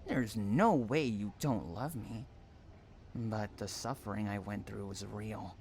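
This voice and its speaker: A man performs an unhinged, possessive stalker in an intimate audio drama, speaking slowly to a captive lover. He coos endearments and threatens in the same breath, with a menacing, obsessive temper. There is some noise behind the voice. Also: fairly low pitch, darkish tone. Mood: wounded, obsessive. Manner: cooing endearments mixed with threats. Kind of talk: dramatic roleplay monologue addressed to the listener.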